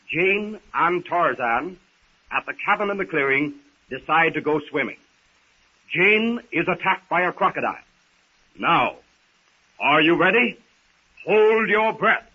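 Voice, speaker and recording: A man recapping a radio serial as its announcer, deliberate and theatrical in 1930s style. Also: on the high side, slightly rough. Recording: muffled 1930s radio recording.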